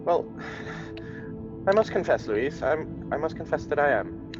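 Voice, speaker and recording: An actor playing a courtly, forward gentleman suitor with formal, genteel speech in radio melodrama style. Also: fairly high pitch, animated, takes it slowly, highs cut off, music in the background. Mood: courtly, hesitant. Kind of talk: old-time radio melodrama acting.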